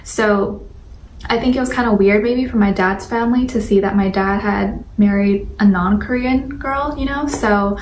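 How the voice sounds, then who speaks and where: A woman's voice: mid-range voice, moderate pace, darkish tone. A young adult woman chats casually to viewers as a vlogger, in an informal, rambling way full of fillers. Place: indoor room at home with a sunlit window. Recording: roomy echo, distant mic, faint noise.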